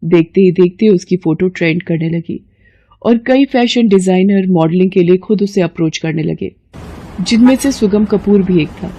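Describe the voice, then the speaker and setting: A woman's voice: fairly low pitch, moderate pace, dark tone, no room sound, low-quality recording. A woman narrator reading a scripted moral story in a dramatic storytelling style.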